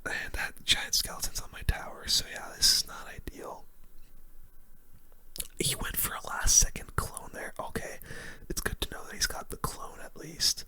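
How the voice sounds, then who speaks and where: A gaming host whispering in a breathy, hushed voice close to the microphone, giving casual ASMR commentary while thinking aloud through play. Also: moderate pace.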